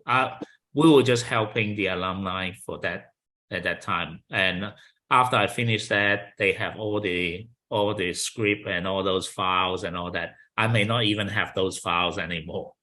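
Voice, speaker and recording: A man's voice: sits low, normal speed, pushed and bright. A man with a Chinese accent, a kung fu instructor speaking in an easy, reflective way and often adding "you know". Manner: thoughtful, frequent you-knows, light laughter. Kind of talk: casual conversational interview.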